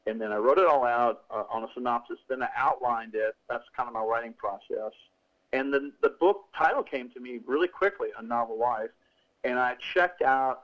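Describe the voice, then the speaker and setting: A man's voice: medium pitch, dull audio. A middle-aged male author talking casually in an interview, rambling with many restarts and fillers. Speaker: author guest.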